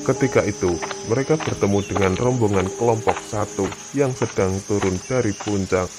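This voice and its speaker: A man narrating a scripted story for a horror animation. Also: lowish voice.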